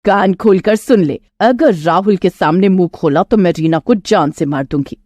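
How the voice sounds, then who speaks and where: A woman's voice: lowish voice, moderate pace, dark tone, no echo, close to an everyday voice. A woman voicing a cruel, scornful middle-aged mother-in-law, scolding and threatening in a melodramatic storybook style. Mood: menacing.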